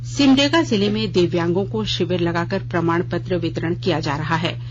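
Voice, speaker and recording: A news reader delivering a scripted radio bulletin in a formal, measured way. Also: medium pitch. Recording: dull lo-fi radio audio with noise and hum.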